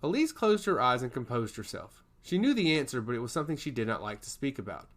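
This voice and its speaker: Male narrator, scripted fantasy audiobook, medium pitch, lively intonation. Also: fast.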